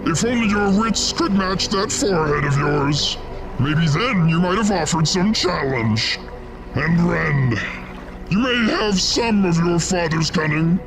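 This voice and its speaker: Sneering, gravelly two-headed ogre. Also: male voice, high-pitched, heavily acted, rough recording. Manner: taunting, drawn-out sneering delivery. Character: two-headed fat ogre, mocking and arrogant.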